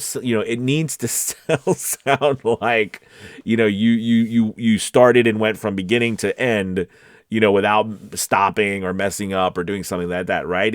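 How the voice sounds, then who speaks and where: Low-voiced man giving casual, emphatic coaching advice. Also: dry recording, studio quality. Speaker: voice-over coach and casting director. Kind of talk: casual direct-to-viewer advice talk.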